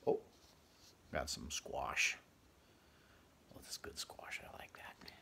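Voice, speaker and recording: A man in his fifties with a gravelly voice reads a picture book aloud, adding chatty, conversational asides for young listeners. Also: slow, pushed and bright, whispered. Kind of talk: children's read-aloud with casual asides. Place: small furnished bedroom, indoors.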